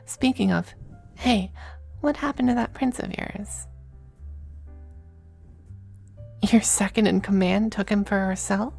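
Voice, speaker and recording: A woman playing a possessive, doting, androgynous male demon lover in an intimate ASMR roleplay, speaking softly and often slipping into whispers. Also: medium pitch. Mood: playful, languid. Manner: soft, breathy, unhurried, often dropping to whispers. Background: faint music.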